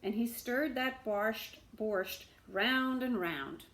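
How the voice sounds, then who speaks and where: A woman's voice: on the high side, slow, fairly bright tone. A woman storyteller reading a children's book aloud expressively, with asides to her listeners.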